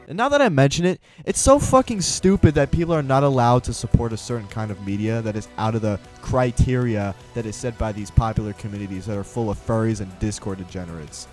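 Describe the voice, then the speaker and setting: A man's voice: mid-range voice, darkish tone. A man giving a casual, profane opinion rant as a video commentator, sarcastic and blunt, with lots of slang. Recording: music behind the voice. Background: background music.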